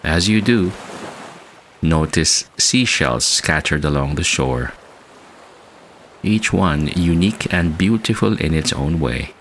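A man reading a scripted guided meditation in a soothing, measured way with long pauses. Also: very deep voice, lively intonation, a bit bright, slight background noise. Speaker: meditation guide and podcast host. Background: background music.

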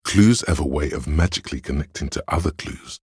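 Detective's inner voice of logic, video game narration, heavily rasping, dry close sound, deliberate, analytical. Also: male voice, rumbling low, a little mellow, no echo, heavily acted. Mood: deliberate, advising. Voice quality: gravelly, husky. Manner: measured, deliberate, coolly reasoning. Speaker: game voice actor.

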